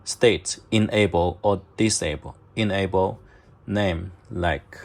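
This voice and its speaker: A man narrating step-by-step instructions in halting, short clipped phrases, as a product demonstrator. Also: deep voice, vocal fry. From China.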